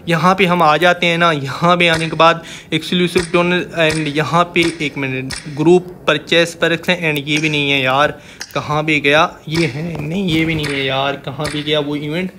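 Higher-pitched Pakistani man, casual gaming host. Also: fairly even intonation, moderate pace, sharp tone, a little hiss or hum. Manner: informal, addresses viewers as brothers. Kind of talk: casual game event walkthrough voice-over.

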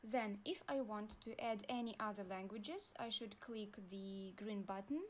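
A young woman with a Russian accent, calmly walking viewers through an online form in a tutorial. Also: mid-range voice, a little hiss or hum. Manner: slow, deliberate, pauses while clicking. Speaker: university staff guide. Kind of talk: screen-recorded tutorial walkthrough.

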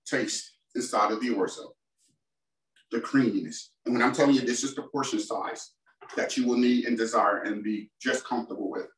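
A man's voice: mid-range voice, slight background noise. A man in his forties, a chef giving a casual, enthusiastic cooking demonstration in a home kitchen and talking straight to his viewers. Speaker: chef presenting a cooking demo. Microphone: far camera mic. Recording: roomy kitchen sound, mic at a distance.

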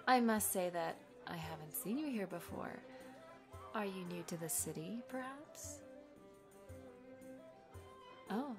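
A woman voicing a sly, flirtatious tavern temptress in a fantasy audio roleplay, coy, teasing and mock-polite. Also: medium pitch. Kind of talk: ASMR roleplay acting. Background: music.

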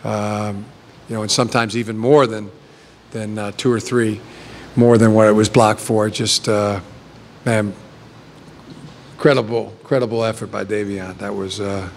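Halting man coach at a press table. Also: on the low side, little pitch movement, slight background noise. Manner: halting, many restarts and fillers. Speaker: head football coach. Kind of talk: postgame press conference answers. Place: press conference room with backdrop. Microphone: table mic.

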